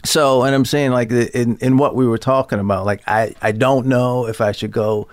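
A man's voice: mid-range voice, fairly even intonation, normal speed, dry recording. A man in his fifties in candid, casual conversation, self-deprecating and acting out his inner critic in mocking voices. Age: 50s.

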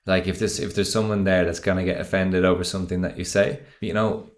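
Deep-voiced male podcast host, echoey. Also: level tone, fast. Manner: chatty, jokey, laughs often. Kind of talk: casual chat.

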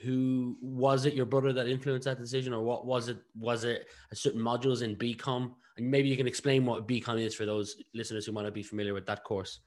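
Irish male podcast host, medium pitch, informal conversational interviewer. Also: flat delivery, a little fast, few pauses, darkish tone.

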